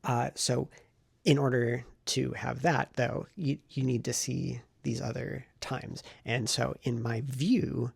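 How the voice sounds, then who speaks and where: A man talking casually to camera in a vlog, rambling and thinking aloud in a self-reflective way, with a gravelly, husky voice. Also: mid-range voice, steady tone, takes it slowly, no echo. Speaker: writing vlogger and novelist.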